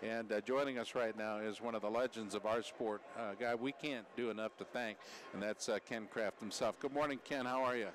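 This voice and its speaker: Halting American sports radio host. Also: male voice, lowish voice, fairly even intonation. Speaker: radio host and play-by-play broadcaster. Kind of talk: live sports broadcast chat. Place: indoor sports arena. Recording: noisy arena ambience.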